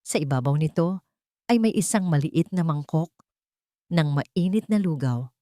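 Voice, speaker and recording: Female Filipino narrator for romantic audio fiction: dramatic storyteller, expressive pitch movement, dry studio-like sound. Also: unhurried, dry recording.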